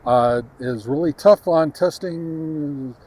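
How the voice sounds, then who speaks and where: A man hosting a casual on-location gear test, talking to the camera in a halting, conversational way. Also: on the high side, a little mellow. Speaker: radio hobbyist reviewer and host. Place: outdoors, on a back deck and in a park.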